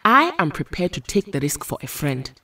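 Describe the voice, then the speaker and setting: Woman acting Storm, low husky noble heroine. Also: very animated, bright, forceful tone, recorded from a distance, full character voice. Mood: earnest. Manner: formal, measured wording. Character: Storm, serene, noble weather-goddess superheroine. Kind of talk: audio drama acting.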